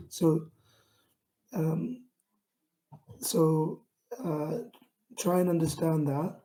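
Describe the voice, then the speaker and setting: A man with a London accent giving an online talk to camera, speaking in a steady, self-described monotone with frequent fillers and restarts. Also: fairly high pitch, low-quality recording. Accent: London English. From England. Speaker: founder giving a pitching talk.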